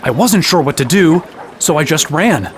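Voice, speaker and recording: A man's voice: on the high side, animated, moderate pace, a little hiss or hum, natural voice. A young actor playing an earnest fantasy hero, telling his adventure in conversational first person in an audio drama. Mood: matter-of-fact storytelling. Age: young adult.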